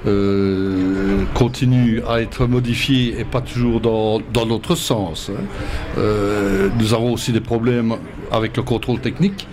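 A man being interviewed on outdoor radio, speaking in a measured, hesitant way with many fillers. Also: lowish voice, fairly even intonation, noisy background. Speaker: federation president, interview guest.

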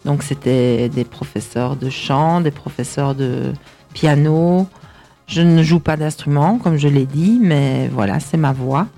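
A young woman, a singer chatting in an interview and pausing often with fillers. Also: low-pitched, warm tone, faint music behind. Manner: chatty, hesitant with many fillers. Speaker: singer guest. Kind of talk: casual interview chat.

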